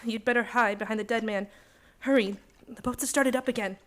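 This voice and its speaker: An actress playing an anxious, loyal young secretary in a radio suspense play, urgent and earnest. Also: female voice, fairly high pitch, fairly even intonation, a little fast, lightly acted.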